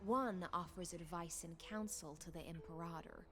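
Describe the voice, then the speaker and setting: A woman voicing a proud swordfish knight commander in a game, speaking in a formal, measured, courtly way. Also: fairly low pitch, over music, lightly acted.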